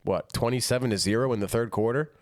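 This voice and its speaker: A man's voice: medium pitch, quick pace, dry recording. Dry, matter-of-fact male co-host. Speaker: podcast co-host. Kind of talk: casual sports podcast banter.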